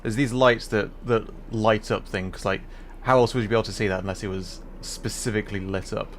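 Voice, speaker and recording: A man's voice: on the low side, fairly even intonation, fairly quick, slight background noise. A man livestreaming a game with casual, enthusiastic commentary, musing and explaining as he goes.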